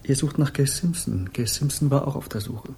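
An actor playing an aloof, dreamy hippie guru in a radio crime drama, speaking in cryptic, solemn pronouncements. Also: male voice, medium pitch, vocal fry, mellow, a little hiss or hum, lightly acted. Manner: slow, cryptic, repetitive pronouncements. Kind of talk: 1960s German radio crime drama acting.

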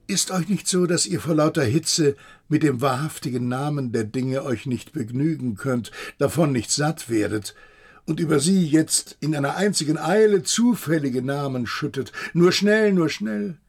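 An actor playing an agitated, inquisitive interrogator with emphatic, insistent questioning in a radio play. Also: male voice, fairly high pitch, animated, normal speed, husky. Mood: agitated, accusing. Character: agitated, accusing, inquisitive male interrogator, haughty and probing. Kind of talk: German radio play acting.

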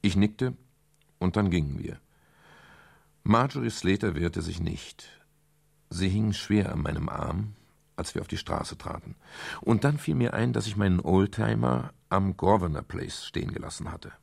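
Private detective, gravelly, husky, low-pitched, world-worn, radio crime drama. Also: male voice, unhurried, a little mellow, heavily acted. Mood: weary narration. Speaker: actor playing detective and narrator. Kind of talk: German radio crime drama acting with first-person narration.

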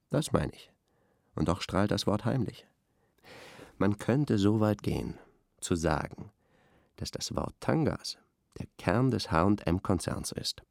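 Deep-voiced, pompous male intellectual. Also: gravelly, a little mellow, no echo, clean studio sound. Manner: pompous, academic, earnest analysis. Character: earnest, pompous male intellectual expert on advertising. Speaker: expert guest on a radio discussion. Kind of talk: radio play acting as an interview.

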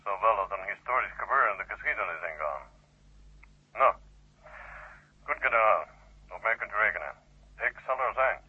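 An actor in a 1970 Dutch radio play, playing a stern, formal Soviet marshal heard over a telephone. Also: male voice, lowish voice, expressive, normal speed, long pauses, husky. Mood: resigned. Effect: telephone line filter. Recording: tinny, echoing telephone line.

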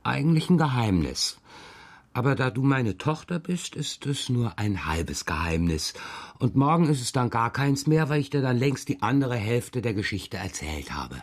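A man's voice: medium pitch, very animated, normal speed. An actor playing a playful, evasive middle-aged father who roams about and writes, with whimsical, hesitant phrasing in a children's radio play. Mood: playfully evasive. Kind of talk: German children's radio drama dialogue.

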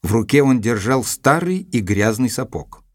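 Male actor as gentleman narrator, medium pitch, dry studio sound, composed, literary storytelling. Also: expressive, moderate pace, no echo, lightly acted. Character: composed gentleman doctor narrating his memoir. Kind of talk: scripted narration.

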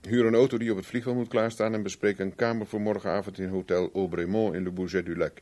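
An actor playing a wry, stubborn private investigator in a 1970s radio play, brisk and ironic. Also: male voice, lowish voice, fairly even intonation, darkish tone, lightly acted. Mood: determined.

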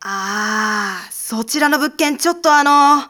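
A woman playing a cheeky young witch working as a shifty estate agent in a manzai comedy drama, polite in patter and deadpan with absurd claims. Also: high-pitched, slow pace, exaggerated voice. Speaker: comic funny-man in a manzai skit.

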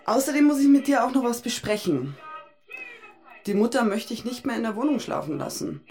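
Eine Schauspielerin spielt in einem Hörspieldialog eine schroffe, arme bayerische Frau, spöttisch, ungeduldig und scharfzüngig. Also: female voice, fairly high pitch, animated, brisk, a little mellow, faint music behind. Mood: impatient, bitter. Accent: Bavarian. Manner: mocking, blunt, impatient. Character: brusque, hard-up working-class Bavarian woman, sharp-tongued, nagging. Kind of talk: radio play dialogue.

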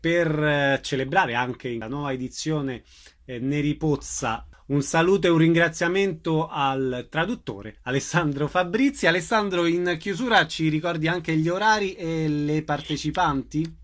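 A man's voice: fairly high pitch, a little slow, a bit bright. A man hosting an informal radio interview, asking questions and recapping details for listeners.

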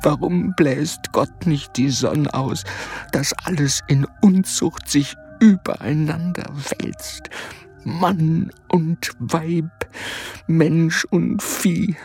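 Woman acting a slow, low, haunted soldier. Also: fairly even intonation, a little mellow, heavily acted. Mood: anguished jealousy. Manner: halting, whispery, obsessively repeating fragments. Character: jealous, tormented soldier, feverish and haunted. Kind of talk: dramatic radio play monologue. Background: music.

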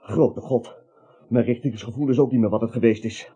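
Comic radio drama: nervous wrongly accused little man, whining and sarcastic, husky, mellow tone, muffled recording. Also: mid-range voice, moderate pace. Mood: sarcastic, weary. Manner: whining, pleading, dryly sarcastic. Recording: dull lo-fi radio play recording.

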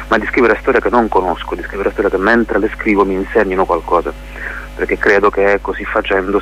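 A man's voice: low voice, a bit bright. A man being interviewed on radio as a playwright, speaking reflectively and often searching for his words. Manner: thoughtful, halting, searching for words. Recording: muffled radio interview with hum and hiss.

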